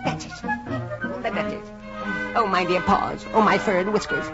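An actor voicing a fussing, frightened rabbit in an old film, dull recording with loud music. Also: medium pitch, wildly swooping pitch, normal speed. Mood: flustered. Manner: flustered, fussing. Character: fretful rabbit afraid of execution.

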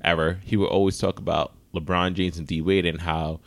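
Deep-voiced male podcast host, dry close sound. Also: flat delivery, brisk, vocal fry, no room sound. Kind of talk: casual sports talk podcast.

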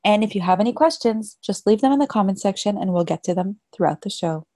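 A woman hosting an interview talk show, reading a scripted opening and then asking relaxed questions. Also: medium pitch, fast talker, no echo, clean studio sound.

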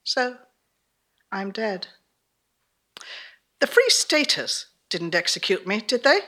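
Haughty revolutionary countess back from the dead, played by a woman; brisk, theatrical, very animated, forceful delivery. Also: mid-range voice, exaggerated voice. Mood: indignant. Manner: haughty, brisk, old-fashioned upper-class turns of phrase. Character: summoned ghost of imperious female Irish revolutionary countess. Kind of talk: theatrical dramatic monologue performance.

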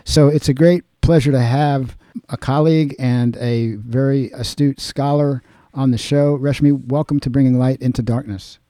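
A man hosting a community radio interview show, talking in an earnest, unhurried way with some repeated words. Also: medium pitch, fairly even intonation, warm tone, no room sound.

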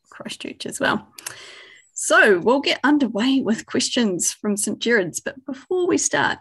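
A woman from New Zealand hosts an educational field trip over a video call. Her voice is husky with some creak, and she keeps things friendly and organised. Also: medium pitch. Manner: friendly, organised, opens with a chanted karakia. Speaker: host and field trip guide. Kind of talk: hosting an educational web conference.

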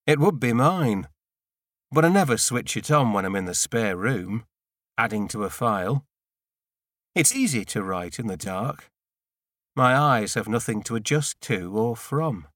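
A man narrates a literary audiobook in a medium-pitched voice. His delivery is measured and deliberate, phrase by phrase, in a very dry recording with no room sound. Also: expressive, a bit bright, no room sound. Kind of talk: scripted literary narration in the first person.

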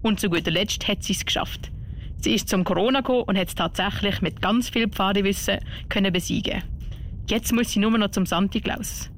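Swiss woman co-host, faint rumble behind. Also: fairly quick. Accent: Swiss German. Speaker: radio co-host. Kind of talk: radio presenting. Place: radio studio.

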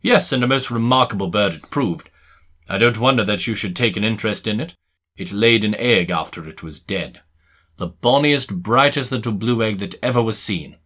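A man playing a shrewd, courteous but commanding gentleman detective in a dramatised Victorian story, speaking precisely and formally with quiet mockery. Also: lowish voice, normal speed. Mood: knowing, brisk.

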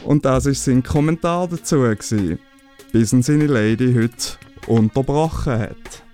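Unhurried Swiss German radio host. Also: male voice, medium pitch, warm tone, faint music behind. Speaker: radio music show host. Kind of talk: casual music show moderation.

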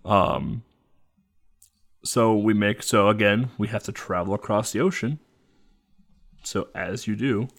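Low-voiced man chatting over a game. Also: lively intonation, slow, vocal fry, long pauses, fairly bright tone. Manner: rambling, laughs often, many fillers. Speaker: game commentator. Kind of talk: casual retro game playthrough chat.

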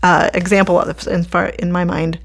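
A woman speaks as the host of an interview podcast. Her voice sits a bit low and is noticeably creaky with fry. Her delivery is conversational. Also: level tone, normal speed.

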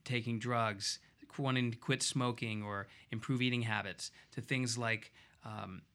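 A man speaking as a radio talk show host, explaining his points in emphatic lists with deliberate pauses. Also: medium pitch, fairly even intonation, a bit bright.

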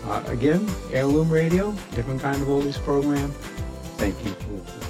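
A man's voice: mid-range voice, expressive. Informal man introducing over music. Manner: informal, halting, repeats phrases. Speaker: host of an old-time radio program. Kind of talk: casual spoken introduction.

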